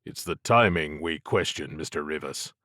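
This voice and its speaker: A man's voice: a bass voice, normal speed, bright, forceful tone, no echo, studio quality, voice pushed down, heavily acted. A male narrator voicing a blunt, accusatory investigator playing bad cop in an audiobook. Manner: pointed, accusatory. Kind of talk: audiobook character dialogue.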